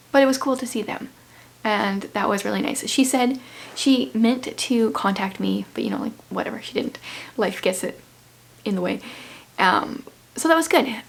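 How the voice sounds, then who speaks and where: A woman from the Canadian prairies giving a rambling, casual vlog update, her voice hushed and breathy at times. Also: fairly high pitch. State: sore throat, losing her voice. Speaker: vlogger. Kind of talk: casual chat.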